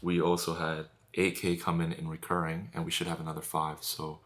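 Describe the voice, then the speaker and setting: A man's voice: low voice, completely flat delivery, brisk, fairly bright tone. A twenty-year-old man talking casually to camera in a vlog, laid-back, swearing freely and calling people bro. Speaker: vlogger and business owner.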